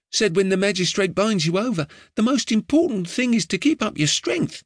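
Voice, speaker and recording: A man's voice: high voice, dry recording, clean studio sound, pitched above the performer's own voice. A man voicing a chatty, slangy, streetwise young boy.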